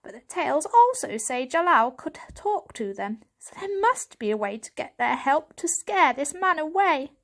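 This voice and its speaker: A woman's voice: sits high, big pitch swings, moderate pace, hardly any pauses, fairly bright tone, pitched above the performer's own voice, exaggerated voice. A woman voicing an elderly male cat, a wise, secretive grandfather with an earnest storytelling manner, for a children's audiobook. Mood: grave, urgent.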